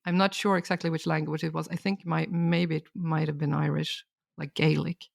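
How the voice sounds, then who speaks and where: Woman with a gravelly voice. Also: low-pitched, moderate pace, no room sound, studio quality. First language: Swedish. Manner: thoughtful, explanatory, steady. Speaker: guest expert. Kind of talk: reflective podcast conversation.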